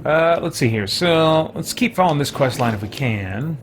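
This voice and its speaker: A man's voice: on the high side, lively intonation, normal speed. A man hosting a gaming livestream, chatting in a casual, rambling, easygoing way. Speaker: livestream host, gamer. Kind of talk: casual livestream gameplay chat.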